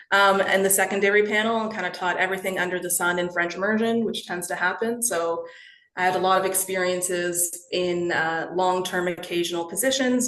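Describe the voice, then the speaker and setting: Woman in her thirties, lowish voice, casual academic talk, lots of ums, echoey room. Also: fairly bright tone. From Toronto, Canada. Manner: conversational, many fillers and self-corrections. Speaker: researcher giving a colloquium talk. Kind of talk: academic presentation.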